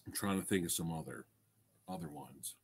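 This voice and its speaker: A man's voice: deep voice, fairly quick, a little hiss or hum. A middle-aged man hosting a casual livestream, talking to viewers in an enthusiastic, slangy way with some swearing. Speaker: livestream host and film reviewer. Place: small indoor room crammed with collectibles. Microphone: desk microphone close in front.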